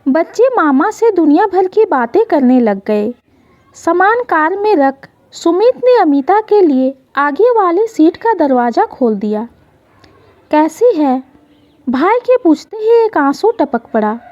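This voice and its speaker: A woman reads an emotional story expressively. Her delivery is slow and very lively in pitch, on a dull, lo-fi recording. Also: dry recording. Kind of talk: scripted emotional story narration in Hindi.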